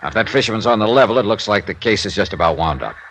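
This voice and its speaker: An actor playing a tough but sympathetic insurance investigator in a 1950s radio detective drama, with an American accent and a clipped, wry delivery. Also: male voice, on the low side, lively intonation, very fast, a bit bright. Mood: weary, sympathetic. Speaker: lead actor and narrator. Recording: hissy vintage radio recording.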